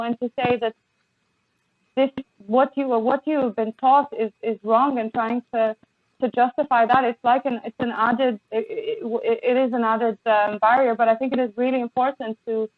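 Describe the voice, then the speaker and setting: A woman guest on a podcast, speaking with passion and many halting restarts over a remote call. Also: high voice, no room sound. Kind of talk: impassioned conversation. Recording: tinny phone-like line, dry.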